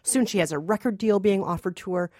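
A woman's voice: mid-range voice, fairly quick, warm tone, no room sound, clean studio sound. A woman hosting a film review podcast, telling things in a dramatic, emphatic way. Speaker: podcast host. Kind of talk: lively film review chat.

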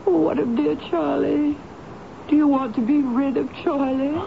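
An actress playing an ageing, melodramatic former stage star, tearful and pleading, in a radio drama. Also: female voice, high-pitched, steady tone, measured pace, creaky. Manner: theatrical, pleading, overwrought. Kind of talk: 1970s radio drama acting. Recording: muffled, tinny, noisy old radio broadcast.

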